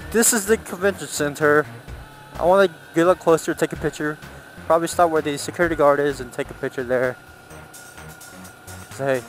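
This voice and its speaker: Male motovlogger, slightly high voice, off-the-cuff and rambling, recorded on the ride with light music underneath. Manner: thinking aloud, unscripted. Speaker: motorcycle vlogger. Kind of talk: casual rambling riding commentary. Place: outdoors, riding a motorcycle on city roads.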